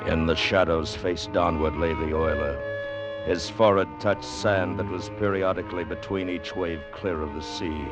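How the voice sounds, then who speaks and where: A man playing a grave storyteller, narrating a 1950s radio drama in a solemn, dramatic, measured way. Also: sits low, steady tone, natural voice. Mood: somber. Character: grave male storyteller of adventure and doom. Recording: dull vintage radio, music behind.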